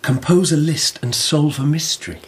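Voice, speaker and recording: An actor playing a playful, musing Japanese emperor in a radio drama, with a leisurely, teasing manner. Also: male voice, fairly high pitch, moderate pace, natural voice.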